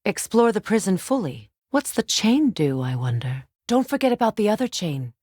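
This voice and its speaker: A woman voicing a resolute, battle-worn sorceress and protective mother in a video game, with a measured, earnest and commanding delivery. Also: medium pitch, sing-song, a little mellow, no echo, studio quality. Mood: watchful, questioning. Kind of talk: dramatic video game voice acting.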